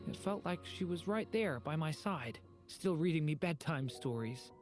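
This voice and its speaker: An actor playing a gentle, earnest young male dragon in human form in a video game, polite and soft-hearted. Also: mid-range voice, expressive, normal speed, natural voice. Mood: wistful. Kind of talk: video game character acting. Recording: game music behind. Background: game music.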